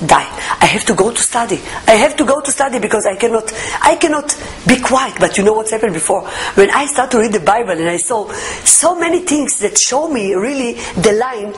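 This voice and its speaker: Israeli woman, Hebrew her first tongue; earnest testimony in careful English, voice a bit high. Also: a little hiss or hum. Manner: earnest, searching for words in English, emphatic. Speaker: testimony speaker. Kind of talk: personal faith testimony.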